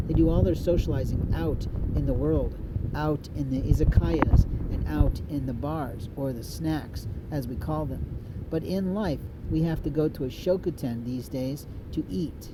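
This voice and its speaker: An older man from the United States, a podcaster delivering a blunt, profane, repetitive rant. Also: a little high. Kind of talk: angry ranting monologue. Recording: noisy outdoor street recording.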